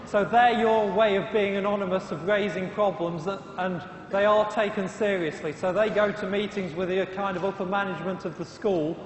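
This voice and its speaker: A man lecturing university students in a brisk, informal and reassuring way. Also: high-pitched, steady tone, a little hiss or hum. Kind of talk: classroom lecture. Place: large lecture hall. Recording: echoey hall reverb.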